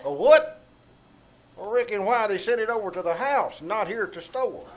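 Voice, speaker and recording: High, swooping, bumbling Southern country grocer played by a man. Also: lively intonation, moderate pace. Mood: excited. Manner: hesitant, folksy, bumbling. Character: meek, self-deprecating, folksy aging country grocery man. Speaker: stage radio actor. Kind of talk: old-time radio comedy acting. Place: small-town theater stage before live audience. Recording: live theater stage with background noise.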